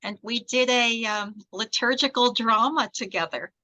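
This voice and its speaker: Middle-aged american woman, law prof, bright crisp voice, lots of ums. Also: a little high, moderate pace, rough recording. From United States. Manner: hesitant, many fillers and restarts. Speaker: law professor. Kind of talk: casual reminiscing conversation.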